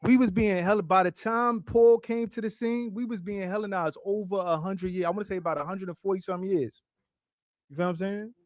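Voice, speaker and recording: Man teaching a study group, slightly high voice, dry close sound, repeats lines back slowly, keeps asking "right?". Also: no echo. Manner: keeps checking in with "right?" and "you feel what I'm saying?". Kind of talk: casual spoken teaching, read-along commentary. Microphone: streaming setup, close mic.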